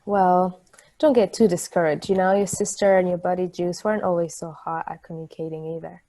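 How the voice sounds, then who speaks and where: Woman acting a patient, mellow-voiced friendly woman. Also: on the low side, steady tone, lightly acted. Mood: gentle, reassuring. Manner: reassuring, conversational, unhurried. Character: friendly, patient woman, gently encouraging newcomer. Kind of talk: stageplay table read.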